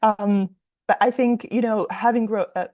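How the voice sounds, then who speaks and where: A woman's voice: medium pitch, fairly even intonation, normal speed, darkish tone. A young woman from Italy, a native Italian speaker, answering interview questions as a novelist in a reflective, explanatory way.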